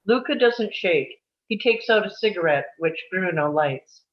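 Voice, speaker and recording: A woman's voice: lowish voice, animated, a little slow. A woman reading scripted stage directions as the narrator of a table read, on dull lo-fi audio.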